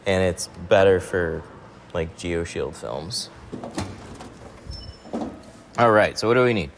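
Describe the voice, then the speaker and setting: A man's voice: deep voice, animated, takes it slowly, noisy background. A man hosting a casual livestream, answering viewer questions while he tints a truck in a workshop. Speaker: window tint installer hosting a livestream.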